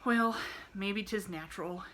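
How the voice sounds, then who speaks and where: A woman's voice: mid-range voice, measured pace, a bit bright, natural voice. A woman voicing a plain-spoken poor boy with a drawling rustic way of talking. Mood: wistful.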